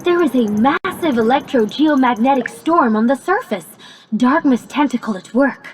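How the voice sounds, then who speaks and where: A woman's voice: sits high, expressive, moderate pace, slight background noise. A woman playing a precise, matter-of-fact artificial intelligence companion in a video game cutscene, with pedantic, formal wording. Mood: alert, matter-of-fact.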